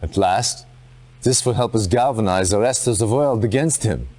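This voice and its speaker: A man playing a stern, resolute military commander in a video game, speaking in a formal, dramatic, deliberate way. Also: fairly low pitch, darkish tone, natural voice.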